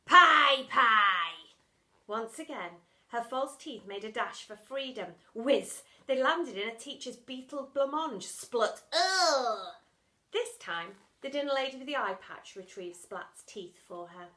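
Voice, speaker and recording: A woman reading a children's storybook aloud like a teacher, dramatic and expressive, punching the sound words. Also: a little high, a little slow.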